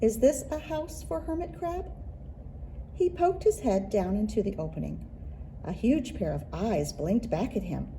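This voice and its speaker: A woman reads a children's picture book aloud in an expressive, deliberate storytelling manner, leaning into rhythmic phrases. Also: medium pitch, a little hiss or hum, rough recording. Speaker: children's librarian and story reader.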